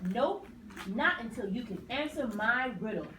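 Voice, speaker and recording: A woman's voice: fairly high pitch, lively intonation, moderate pace, natural voice. A woman voicing a haughty, furious queen in a puppet show, with an imperious, exclamatory delivery. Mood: gloating. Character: haughty furious queen obsessed with her phone. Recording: distant roomy mic with clear noise.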